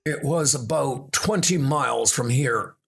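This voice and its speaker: A man playing a wounded, urgent scientist in a comic adventure, speaking in a strained, halting way. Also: medium pitch, creaky, voice pushed up. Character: wounded, urgent male scientist recounting a strange danger.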